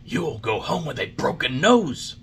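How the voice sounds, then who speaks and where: Guy doing a bratty bully schoolboy for a kids story, taunting, pushed up high, really swingy delivery. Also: very animated, moderate pace, pushed and bright, slight background noise. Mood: threatening. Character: gang of jeering, bullying schoolboys.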